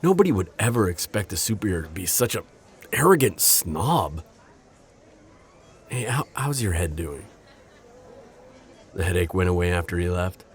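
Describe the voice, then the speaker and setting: A man playing a gentle, protective civilian friend in an audio drama, earnest and hesitant with a slight stammer. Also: low voice, sing-song, moderate pace, long pauses, a little hiss or hum. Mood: relieved, sympathetic. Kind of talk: naturalistic audio roleplay drama acting.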